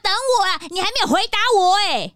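A woman's voice: very high-pitched, big pitch swings, a little fast, a bit bright, a little hiss or hum, exaggerated voice. A woman playing a curious, teasing young detective's sidekick in a scripted children's show skit, bubbly and childlike. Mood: teasing, excited.

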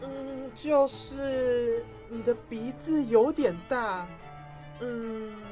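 A dismissive schoolgirl classmate played by a woman in an audio drama. She teases in a high voice with slow, drawn-out words over faint music. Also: dark tone. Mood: teasing.

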